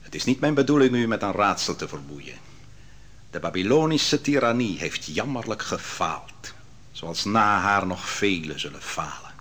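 An actor playing a grave, declamatory prophet pronouncing doom in a Dutch radio drama. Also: male voice, fairly low pitch, expressive, normal speed, a bit bright. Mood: solemn. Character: solemn male prophet pronouncing doom on a king.

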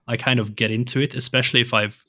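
A man's voice: mid-range voice, fairly even intonation, fast, sharp tone. Young Swedish man, hesitant speech. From Sweden. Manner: hesitant, many restarts and ums. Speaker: podcast guest. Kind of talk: casual interview chat. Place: home room with shelves and colored lights. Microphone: large studio mic on arm, headphones.

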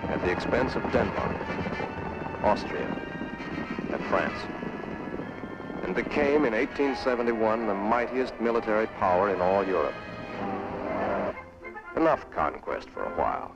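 A man with an American accent narrating a 1940s military propaganda film in a stern, clipped, emphatic way with dramatic pauses. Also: on the low side, big pitch swings. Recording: muffled 1940s film soundtrack with music.

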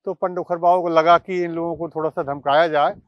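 Man in his sixties, smooth higher-pitched voice, halting commentary, dry close sound. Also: steady tone, dry recording, lo-fi sound. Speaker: presenter. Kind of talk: explanatory commentary to camera. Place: outdoors by water and trees.

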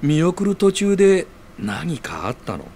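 Male actor as considerate senior colleague, friendly, calming, somewhat high voice, varied intonation, audio drama. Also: measured pace, exaggerated voice. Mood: gentle, considerate. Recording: audible background noise.

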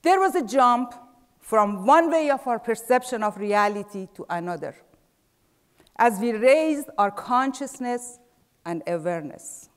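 A middle-aged woman from Iran with a Persian accent, giving a prepared talk on a theater stage in a measured way, her voice fading softly at the end of each phrase. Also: a little high, animated. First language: Farsi. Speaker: speaker. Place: large dark theater stage. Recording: theater with long reverb.

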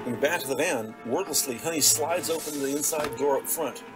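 A man narrates a scripted audio drama in a fairly high voice. His delivery is measured and descriptive, carrying the story along step by step. Music plays clearly behind him.

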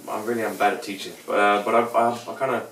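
A man in his forties, a bit hoarse, giving a casual, rambling guitar tutorial at home with many restarts. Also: on the low side, steady tone, fast. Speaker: guitar teacher. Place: small cluttered room at home. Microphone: camera mic from a few feet away. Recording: echoey room, distant camera mic, guitar playing. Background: acoustic guitar playing.

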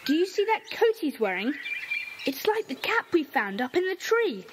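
An actor playing a brisk, commanding boy who leads a children's club in an audio drama, on a hissy tape. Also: high voice, very animated. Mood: eager. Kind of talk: radio play acting.

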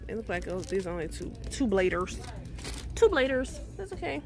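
A woman's voice: medium pitch, sing-song, moderate pace, faint music behind. A middle-aged woman chatting casually to viewers as she films herself walking a store aisle on a phone. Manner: chatty, addresses viewers as y'all. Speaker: vlogger. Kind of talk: casual shop-with-me chat. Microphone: handheld phone.

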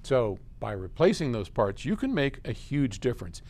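Older American man, very lively intonation. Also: mid-range voice, normal speed. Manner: informal, conversational, emphatic. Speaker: company chief answering viewer questions. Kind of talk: casual explainer to camera. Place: large open office lobby, indoors.

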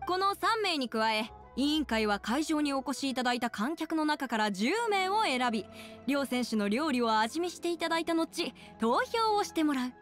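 A woman's voice: sits high, expressive, faint music behind. An actress playing a poised young woman announcing a contest formally and ceremoniously in an anime game. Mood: ceremonious, bright. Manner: clear, measured, ceremonious.

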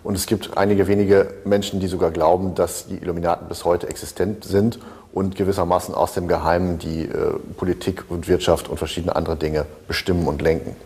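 A man explaining calmly as an interviewed expert. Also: sits low, a little fast, long reverb tail. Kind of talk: interview answer.

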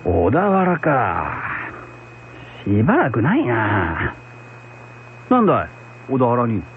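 Man playing animated, folksy packhorse driver. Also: medium pitch, slow pace. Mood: amiable, pondering. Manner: rough, folksy, colloquial. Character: good-natured, hard-drinking packhorse driver, rustic man. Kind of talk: radio drama dialogue. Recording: muffled radio recording with hum, faint noise.

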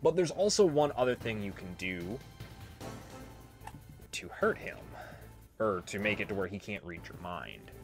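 A man's voice: lowish voice, sing-song, a little slow, pauses often. A man giving casual, swear-prone gameplay commentary as the host of a let's play. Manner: profane, exasperated when struggling. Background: video game music.

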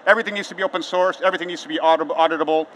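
Portuguese man, forties, American accent, bright higher voice, chatty conference speaker, faint outdoor hum. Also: steady tone, very fast, rough recording. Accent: American English. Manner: conversational, many filler words and restarts. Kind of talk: conference talk. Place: large outdoor conference stage.

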